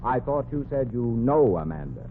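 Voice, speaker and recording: A man's voice: medium pitch, sing-song, moderate pace, lightly acted. An actor playing a suave, weary rich man in a 1940s radio comedy, languid and sardonic. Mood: rueful. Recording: tinny, hissy old radio broadcast.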